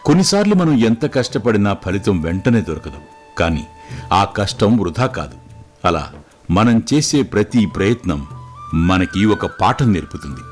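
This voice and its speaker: A man playing a calm, wise fatherly king who gives measured, reassuring moral advice in a storybook tale. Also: on the low side. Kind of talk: scripted moral story dialogue.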